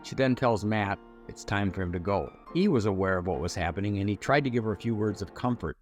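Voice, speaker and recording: A man with a fairly low voice narrates a television retrospective. The delivery is scripted storytelling, with faint guitar music behind him. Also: quick pace, a little mellow. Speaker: narrator of a TV review video.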